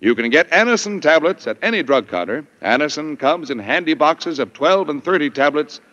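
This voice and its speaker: A man's voice: a little high, lively intonation, fairly quick, fairly bright tone. A man announcing a scripted 1950s radio commercial in a formal, emphatic manner. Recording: dull, echoey vintage radio broadcast.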